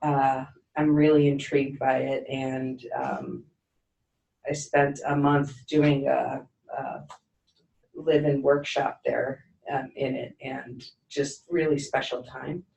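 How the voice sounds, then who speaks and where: A woman's voice: extremely low pitch, flat delivery, slow, gravelly, a little mellow, highs cut off. A woman from Chicago hesitantly introducing herself to a training group, with lots of ums and easy laughs. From Chicago, USA. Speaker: training participant. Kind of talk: casual self-introduction. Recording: echoey room, distant mic.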